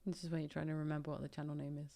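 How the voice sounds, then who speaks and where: Female workshop co-host, lowish creaky voice, informal and light-hearted explainer. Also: flat delivery, racing, crowd noise.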